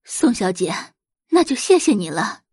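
女人扮演的 gentle, expressive wealthy mother. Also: medium pitch, a little slow, slightly rough, dry recording, studio quality. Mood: anxious, grateful. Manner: soft-spoken, earnest. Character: gentle, grateful wealthy mother, middle-aged.

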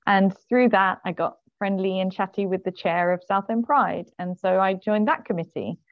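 A woman's voice: medium pitch, moderate pace, no echo, lo-fi sound. A woman guest on a podcast, a parent, telling her story in a friendly, chatty way. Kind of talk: casual conversation.

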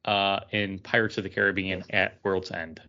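A man's voice: low-pitched, little pitch movement, normal speed, pushed and bright, muffled recording. A man co-hosting a podcast, talking casually with friends. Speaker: podcast co-host. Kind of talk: casual chat, reading out a list.

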